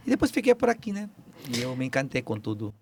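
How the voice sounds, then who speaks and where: A man's voice: mid-range voice, very animated, fast talker, a little mellow, slight background noise. A man in his forties from Peru with a Peruvian Spanish accent, explaining biology as a podcast guest, hesitant with many fillers and restarts.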